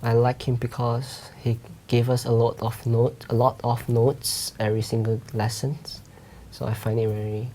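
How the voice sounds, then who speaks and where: A man's voice: medium pitch, flat delivery, moderate pace, warm tone, a little hiss or hum. A young man from Malaysia answering exam questions hesitantly with many fillers. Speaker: exam candidate.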